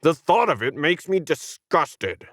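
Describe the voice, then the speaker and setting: Man acting a whiny alien, condescending, sarcastic, lively swooping pitch, dry close sound, science fiction story. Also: measured pace, dry recording, exaggerated voice. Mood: smug, mocking. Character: smug whiny tentacled alien, sneering at humans.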